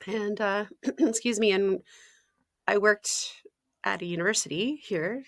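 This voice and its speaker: A woman, a health coach talking casually in an interview, often laughing, clearing her throat and using fillers. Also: mid-range voice, measured pace, no room sound. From Ontario, Canada.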